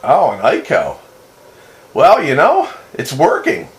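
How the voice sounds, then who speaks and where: A man's voice: mid-range voice, wildly swooping pitch, slow pace. A man chatting casually and approvingly with fellow radio hobbyists as the host of a CB roundup, in an easygoing manner. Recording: roomy echo, faint background noise.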